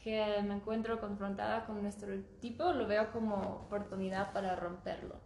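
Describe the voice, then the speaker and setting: Woman chatting, direct and measured, bit of room echo, faint noise behind. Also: mid-range voice, steady tone, vocal fry, darkish tone. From Germany. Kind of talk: casual conversation.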